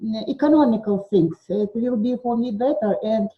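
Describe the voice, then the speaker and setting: German lady on a call, searching for words, kinda high voice, dull lo-fi sound. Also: normal speed. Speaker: participant and moderator. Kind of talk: live online discussion.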